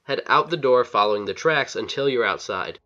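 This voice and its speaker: A man's voice: mid-range voice, expressive, fairly quick, bright, forceful tone. A man giving step-by-step instructions as the narrator of a video game walkthrough. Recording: slightly dull, lo-fi voice-over.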